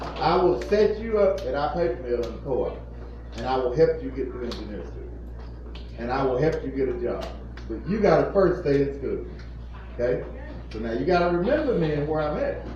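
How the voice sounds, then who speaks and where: A man's voice: a little high, expressive, moderate pace, pauses often. A man in his fifties, a plant manager, giving an earnest, repetitive motivational talk to a group of children. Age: 50s. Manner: earnest, repetitive, preacherly emphasis. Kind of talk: informal motivational talk to kids. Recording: echoey, distant, muffled room recording with faint noise.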